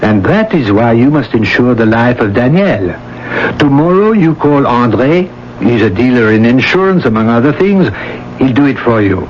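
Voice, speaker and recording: Actor as smooth, scheming male lover, muffled radio. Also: mid-range voice, very animated, moderate pace, close to an everyday voice. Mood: coaxing, insistent. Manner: condescending, persuasive. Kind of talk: radio drama acting. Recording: dull, noisy old radio broadcast.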